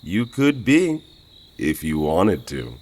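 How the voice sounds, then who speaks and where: Slow, swooping, smug lovestruck suitor. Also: male voice, deep voice, huge pitch swings, a little mellow, heavily acted. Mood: smug flirting. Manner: flirtatious, theatrical, self-assured. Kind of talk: audio drama acting.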